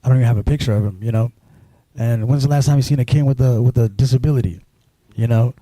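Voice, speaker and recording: A man telling his life story as a guest on a live stream, halting and filling gaps with "you know" and "um". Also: mid-range voice, warm tone, no echo. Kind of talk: personal storytelling in an interview.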